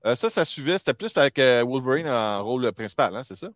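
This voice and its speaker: A man's voice: medium pitch, animated, fast, fairly bright tone, no echo. A man with a Quebec French accent hosting a casual group chat, explaining things in colloquial language. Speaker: host.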